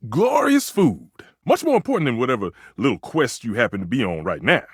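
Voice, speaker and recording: An actor playing a proud, temperamental, food-obsessed stove chef in a video game, with pompous, flowery delivery and exasperated outbursts. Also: male voice, mid-range voice, sing-song, a little fast, breathy edge, dry recording, clean studio sound. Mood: haughty, irritated. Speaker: voice actor in a dating simulator game. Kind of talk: theatrical video game character acting.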